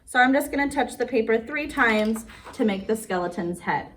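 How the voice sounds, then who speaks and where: A woman's voice: a little high, lively intonation, normal speed, fairly bright tone. Woman reading to small children, noisy distant recording. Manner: sing-song, simple explanations aimed at small children. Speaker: children's storyteller and craft host. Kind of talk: picture-book read-aloud and craft demonstration for young children.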